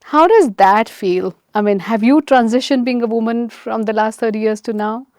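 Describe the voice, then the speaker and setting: A woman's voice: fairly high pitch, moderate pace, a little mellow, no room sound, clean studio sound. A woman hosting a podcast, speaking in an effusive, enthusiastic way. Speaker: podcast host. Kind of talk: podcast interview.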